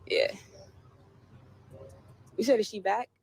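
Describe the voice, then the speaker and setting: A woman's voice: on the high side, fairly even intonation, takes it slowly, vocal fry, slightly rough. A woman in a played clip, talking casually to the camera in a defiant, preachy manner about faith and spirits.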